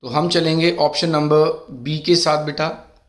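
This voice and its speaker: A middle-aged man teaches an exam-prep class, his voice sitting a touch higher than most men's. His throat is sore. He reads each question out slowly and warmly calls his students beta. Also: fairly even intonation. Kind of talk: exam-prep lesson in Hindi mixed with English.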